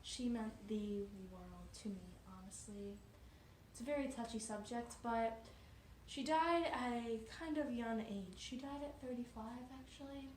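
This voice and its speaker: A woman playing a devout religious sister from eighteenth-century France as an interview guest, speaking earnestly and a little haltingly in an amateur role-play. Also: on the high side, close to an everyday voice. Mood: tender, wistful. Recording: roomy, distant mic with background noise.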